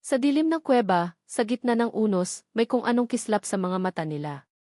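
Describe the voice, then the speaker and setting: A woman's voice: on the high side, animated, brisk, mellow, no echo, clean studio sound. A woman narrating a romance story as a dramatic, scripted audiobook.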